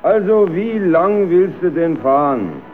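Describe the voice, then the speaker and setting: An actor playing an instructive, easily exasperated carousel ticket seller in an old radio play. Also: male voice, high-pitched, very animated, slow, faint music behind, exaggerated voice. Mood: patient, businesslike. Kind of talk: old radio play, comic dialogue acting. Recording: tinny, narrow-band old radio recording.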